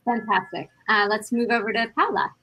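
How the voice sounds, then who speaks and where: A woman in her fifties moderating a virtual panel over a video call. She sounds encouraging and upbeat. Her voice sits at a middle pitch, with frequent "um" pauses. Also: steady tone, sharp tone, husky. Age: 50s.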